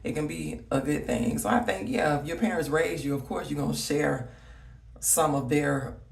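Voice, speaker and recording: A woman's voice: low-pitched, moderate pace, gravelly, far from the mic. A woman from Alabama with a Southern accent, chatting casually and rambling as she talks straight to her viewers. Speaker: vlogger. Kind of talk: casual reminiscing chat to viewers. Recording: roomy echo, voice slightly off-mic.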